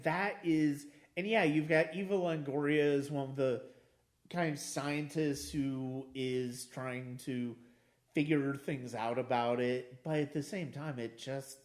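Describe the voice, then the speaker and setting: A man casually discussing films as a podcast host, haltingly repeating and restarting his phrases for emphasis. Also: medium pitch.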